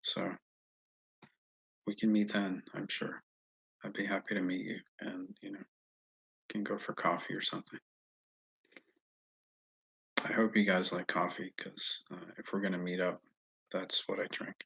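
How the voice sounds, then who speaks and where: An American man chatting casually and haltingly on a livestream, often restarting his sentences as he thinks aloud. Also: deep voice, flat delivery, gravelly, fairly bright tone, breathy edge, muffled recording. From Northeast United States, mostly Massachusetts. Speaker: livestream host answering viewer comments. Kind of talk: casual rambling livestream chat.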